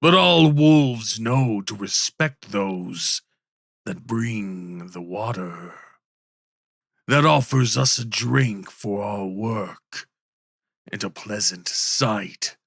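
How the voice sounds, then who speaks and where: A man voicing a huge, stoic infernal wolf in an ASMR roleplay, speaking in a deliberate, formal and teasing way. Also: on the low side, gravelly, fairly bright tone, slightly rough, no room sound, studio quality, full character voice. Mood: smirking, reassuring. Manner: slow, deliberate, formal speech. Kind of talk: ASMR roleplay character acting.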